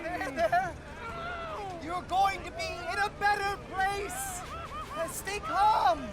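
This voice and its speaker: A man's voice: extremely high pitch, very animated, slow pace, over loud music, a loud crowd, exaggerated voice. An actor voicing a pompous showman executioner who declaims grandly to the public in a video game. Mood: grand, soothing. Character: pompous showman executioner preaching to a condemned man. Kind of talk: theatrical public address.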